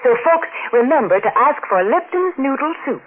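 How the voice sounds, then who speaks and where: A woman working as a sponsor's announcer, giving a folksy, persuasive 1940s radio commercial pitch. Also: sing-song, moderate pace. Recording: muffled, noisy 1940s radio broadcast.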